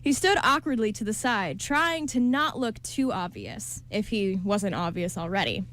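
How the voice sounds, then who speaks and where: A woman's voice: medium pitch, expressive, normal speed, a bit bright. A woman narrating a scripted story as part of a radio play.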